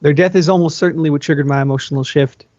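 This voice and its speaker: A man's voice: a little high, fairly even intonation, rapid-fire, a little mellow, dry recording. A man giving an informal amateur read-aloud of a first-person story. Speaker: amateur fan fiction reader. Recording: dry, dull lo-fi home recording.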